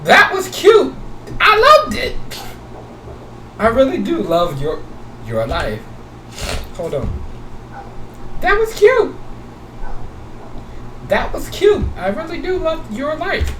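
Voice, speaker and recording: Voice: high voice, wildly swooping pitch, slow pace, pauses often, bright, forceful tone. A gaming video host giving casual commentary and a sign-off, gushing and repeating phrases over and over. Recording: noisy, echoey room, distant mic.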